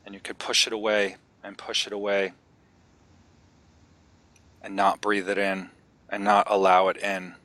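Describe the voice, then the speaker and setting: Low-voiced man guiding a meditation, bright tone. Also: completely flat delivery, dry recording. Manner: gentle, unhurried instruction with long pauses. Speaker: guided meditation leader. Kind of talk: guided meditation.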